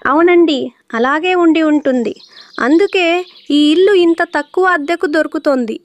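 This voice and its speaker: A woman playing an outspoken young mother pigeon, earnest and expressive, in a children's cartoon. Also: high voice, moderate pace, lightly acted. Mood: worried.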